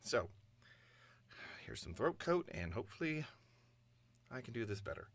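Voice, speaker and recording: A man's voice: medium pitch, very animated, moderate pace. A man chatting casually and thinking aloud as an amateur voice actor in a recording booth.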